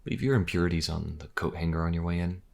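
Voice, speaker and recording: A man playing a wry, flirtatious priest in a fantasy audio drama, with a husky, gravelly, playful delivery. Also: deep voice, fast, a little mellow. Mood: teasing.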